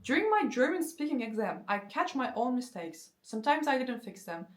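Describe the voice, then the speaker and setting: A woman in her twenties, a native Turkish speaker, explaining language learning in a casual, lively way and slowing down for example sentences. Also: high voice. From Turkey. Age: 20s. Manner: lively, conversational, slows down to spell out example sentences. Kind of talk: casual explanatory talk to camera.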